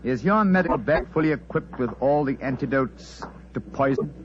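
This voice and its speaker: An actor playing a commanding, analytical English detective with clipped, precise diction in a vintage radio drama. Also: male voice, mid-range voice, expressive, moderate pace, noisy background, close to an everyday voice. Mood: measured, authoritative. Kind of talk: 1940s radio drama acting. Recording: dull, muffled vintage radio recording.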